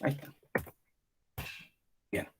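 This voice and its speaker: A man's voice: slow, slight background noise. A man with a Chilean accent lecturing a history class online, constantly checking in with his students as he talks. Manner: animated, keeps checking in with "¿cierto?" and "¿ya?". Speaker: history teacher.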